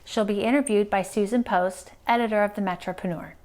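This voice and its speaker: A woman announces an event program from a script. Her voice is of average pitch, presenting in the style of a formal introduction. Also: fairly even intonation, a little fast, a little mellow.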